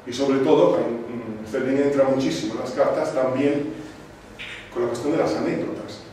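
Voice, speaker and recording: A man from Sardinia, presenting a film to a cinema club audience, thoughtful and full of pauses and restarts. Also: medium pitch, steady tone, mellow. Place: cinema club screening room. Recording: echoey room, distant mic, noisy.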